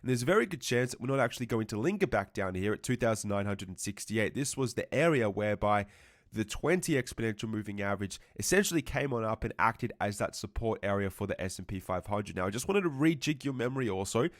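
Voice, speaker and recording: A man's voice: fairly low pitch, expressive, quick pace, no echo, studio quality. A man teaching stock chart analysis, a little congested and fluey, explaining at length and often rephrasing himself with "right" and "or at least". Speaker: trading educator. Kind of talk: market analysis commentary.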